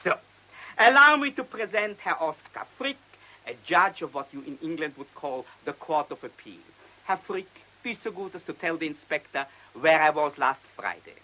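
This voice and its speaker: An actor in a radio drama playing a cunning, self-assured German man who speaks with deliberate, mocking polish in a German accent. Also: a little high, big pitch swings, pushed and bright, exaggerated voice, rough recording. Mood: triumphant, smug. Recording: hissy old radio play recording.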